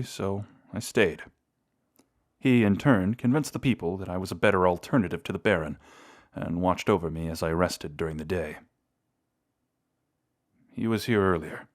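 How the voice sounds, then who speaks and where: A man playing a deep-voiced, courteous brooding vampire. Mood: measured, reminiscing. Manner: formal, measured, old-fashioned phrasing. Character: brooding, courteous male vampire, tender toward a lost love. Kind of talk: intimate scripted audio roleplay.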